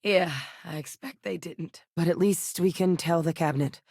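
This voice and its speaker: An actress voicing a tough, composed female military scientist in a video game, speaking in a brisk, dry manner. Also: on the low side, creaky, darkish tone, no room sound. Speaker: game character voice lines.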